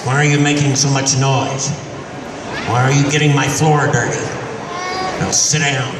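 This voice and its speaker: A man voicing a grumpy, stingy old miser as a live puppet act, gruffly scolding his audience in a big indoor mall. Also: mid-range voice, steady tone, a little slow, noisy background, lightly acted. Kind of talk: live improvised puppet comedy. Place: large indoor shopping mall. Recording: echoey mall hall, far-off mic, loud crowd.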